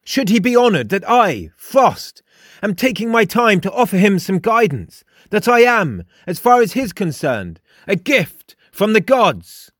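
A man's voice: high voice, big pitch swings, measured pace, fairly bright tone, dry recording, studio quality, pitched above the performer's own voice, full character voice. A man voicing a smug, theatrical young male mystic in a storybook, declaiming with pompous emphasis. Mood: smug, grand. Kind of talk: audiobook character dialogue.

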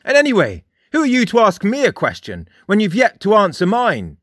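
Guy putting on a sly fox-like teen boy, high and sing-song, rapid-fire mocking. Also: wildly swooping pitch, fairly bright tone, no echo, studio quality, full character voice. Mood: boastful. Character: mischievous teenage boy, fox-like, cocky and theatrical. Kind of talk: audiobook character voice.

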